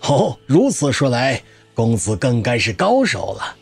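Old male wanderer for audio drama: folksy, garrulous spear expert, rough-edged husky voice, lively swings. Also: mid-range voice, measured pace, faint music behind, heavily acted. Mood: curious, polite.

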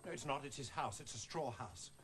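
An actor playing a fussy, bossy amateur puppeteer who is easily exasperated, in a noisy recording. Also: male voice, medium pitch, brisk, close to an everyday voice. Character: fussy, irritable amateur puppeteer man bullying his helpers.

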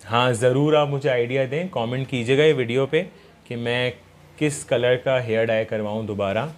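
A man's voice: mid-range voice, moderate pace. A young man talks straight to his viewers, friendly and chatty, in a casual vlog. He films himself on a phone camera inside a barber salon, and a faint hum of the room sits under his voice. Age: young adult. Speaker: vlogger and host. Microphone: phone camera at arm's length.

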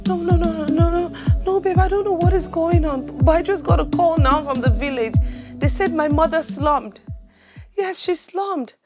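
A woman's voice: very high-pitched, normal speed, over loud music. An actress with a Nigerian accent playing a distraught, pleading girlfriend in a film drama, repeating herself as she begs. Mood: panicked, pleading. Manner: pleading, repetitive.

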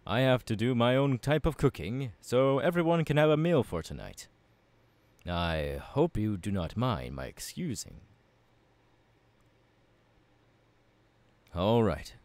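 A man's voice: medium pitch, lively intonation, measured pace, darkish tone, no echo. A man playing a passionate, courteous young warrior mentor in an ASMR audio roleplay, speaking in an earnest, formal and encouraging way.

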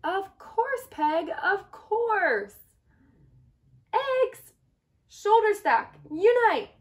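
A woman's voice: extremely high pitch, very slow, voice pushed up, heavily acted. A woman voicing an anxious, bossy young egg in a picture-book read-aloud, fretful and dramatic. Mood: excited, commanding. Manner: dramatic, fretful, commanding, expressive. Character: anxious, bossy, earnest young egg leading his friends.

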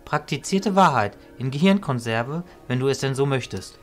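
A man playing a calm, wise illusionist mentor, measured and didactic, in a fantasy audio drama. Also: medium pitch, faint music behind, natural voice. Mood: wise, instructive.

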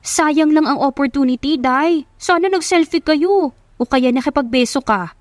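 A woman with a Filipino accent voicing an excitable, teasing young female best friend in an audio romance, exclamatory and slangy. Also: high voice, moderate pace, no room sound, studio quality, voice pushed up, lightly acted. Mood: teasing, giddy.

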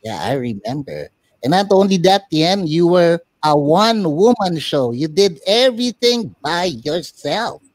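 Male host, casual interviewer, slightly high voice, unhurried pace, dry close sound. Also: big pitch swings, no room sound. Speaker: talk show host.

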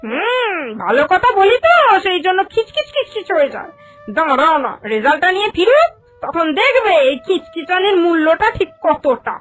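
Exasperated, sharp-tongued cartoon mum, played by a woman. High, lively, scolding. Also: moderate pace, faint music behind, rough recording. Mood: nagging. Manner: scolding, expressive, exclamatory. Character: nagging, exasperated, sharp-tongued village mother. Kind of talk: animated cartoon voice acting.

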